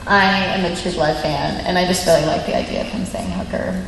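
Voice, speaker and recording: A woman's voice: lowish voice, fairly quick, vocal fry, a crowd in the background. A woman, a voice actress on a convention panel, chatting in a rambling, giggly way. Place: large convention panel hall. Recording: echoey hall, phone recording, faint noise.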